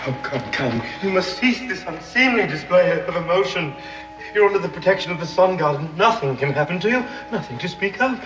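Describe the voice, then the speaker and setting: A man's voice: high-pitched, lively intonation, fairly quick. An actor playing a fanatical Englishman devoted to a sun god, telling a curse story in grand theatrical swings. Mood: silkily menacing. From England. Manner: theatrical, grandiose storytelling, veering from hush to shouting. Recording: roomy, dull old TV soundtrack with reverb and music.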